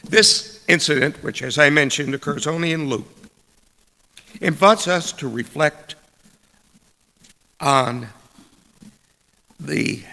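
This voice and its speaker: Slow, gravelly-voiced man preaching in echoing space. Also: fairly high pitch, pushed and bright. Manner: deliberate, measured, broken into short phrases with pauses. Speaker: preacher reading a sermon. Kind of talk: scripted sermon read aloud. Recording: long church reverb.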